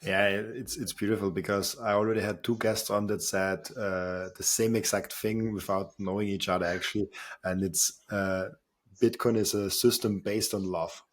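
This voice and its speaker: Guy hosting a podcast, lowish voice, lots of uhs, keeps agreeing. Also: fairly even intonation, normal speed. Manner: agreeing eagerly, with frequent "uh"s and repeated words. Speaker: podcast host. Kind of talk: casual interview talk.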